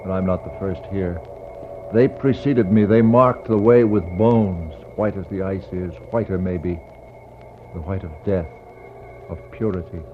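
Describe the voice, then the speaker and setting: Brooding polar explorer, low and solemn. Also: male voice. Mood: grim, haunted. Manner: solemn, declamatory, dramatic pauses. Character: brooding male polar explorer searching for a lost expedition. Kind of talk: 1960s poetic radio drama monologue. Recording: dull, noisy 1960s radio recording.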